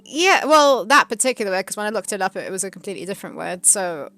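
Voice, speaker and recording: A woman's voice: medium pitch, quick pace. A woman chatting and giggling on a live stream, greeting viewers and reading out their questions. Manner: giggly, animated. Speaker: co-host reading chat. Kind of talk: casual chat on a live stream.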